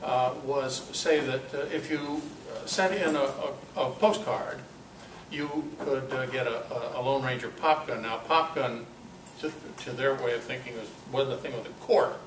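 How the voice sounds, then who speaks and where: An older man giving an informal, halting talk to a club, with a self-deprecating, dryly humorous manner. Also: medium pitch. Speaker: guest speaker at a club meeting. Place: club meeting room, indoors. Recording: roomy, distant mic, noisy amateur recording.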